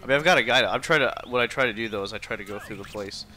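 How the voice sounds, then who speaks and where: A man's voice: medium pitch, rapid-fire. A man chatting casually and slangily as he streams a video game, easygoing in manner. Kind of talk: casual gameplay stream chat. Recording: loud game music behind voice. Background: video game music.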